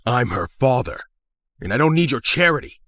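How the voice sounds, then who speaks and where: A man's voice: medium pitch, big pitch swings, normal speed, a bit bright, no room sound, clean studio sound. A man voicing a huge, menacing criminal father who speaks sternly and commandingly. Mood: threatening.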